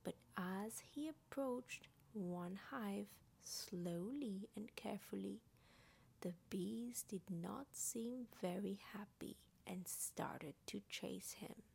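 A woman narrating a children's bedtime story in an expressive, deliberate way. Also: medium pitch, creaky, husky.

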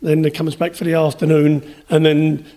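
A man answering as a writer in a radio interview, in hesitant, measured phrases. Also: a little high, monotone, dark tone. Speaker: interview guest, writer and storyteller.

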